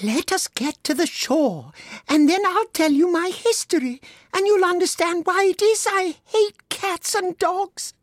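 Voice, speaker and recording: A man voicing a touchy male storybook mouse, shrill and squeaky, with an offended, passionate manner. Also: a little slow, no room sound, clean studio sound. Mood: trembling, solemn. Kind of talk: storybook character reading.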